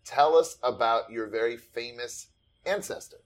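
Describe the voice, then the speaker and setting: A man interviewing a guest, enthusiastic and animated as he tells a story. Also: mid-range voice, measured pace. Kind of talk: conversational interview.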